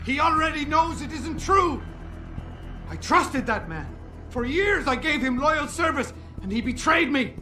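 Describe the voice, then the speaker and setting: Casting: broken, proud activist in a game drama, high-pitched and forceful, formal diction, bitter and remorseful. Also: male voice, lively intonation, measured pace, faint music behind, exaggerated voice. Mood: indignant, betrayed. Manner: formal, eloquent, emotionally wrought. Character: despairing, guilt-ridden disgraced male activist, bitter and proud. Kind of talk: dramatic video game voice acting.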